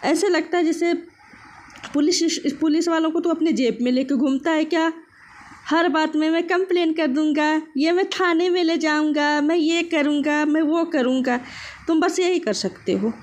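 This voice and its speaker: High-pitched scolding woman. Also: a little hiss or hum. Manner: scolding, confrontational, repeats phrases mockingly. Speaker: vlogger commenting on an online controversy. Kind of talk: casual opinionated rant.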